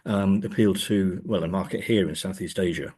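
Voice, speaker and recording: A man's voice: sits low, moderate pace, a little mellow, husky. A man speaking as an academic interview guest, thoughtful and hesitant, often repeating words as he forms his ideas. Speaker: academic guest, professor and author. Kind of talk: conversational interview answers.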